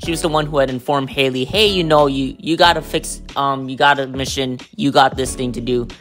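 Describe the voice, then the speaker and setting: A man's voice: mid-range voice, steady tone, a little fast, a bit bright. A man hosting his own podcast, giving a casual, unscripted show review in a chatty way, with lots of fillers and restarts. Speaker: podcast host. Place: New York City.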